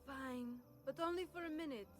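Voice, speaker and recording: A woman's voice: high-pitched, moderate pace, natural voice. A woman playing a coy, affectionate, teasing young sweetheart in a video game cutscene. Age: young adult.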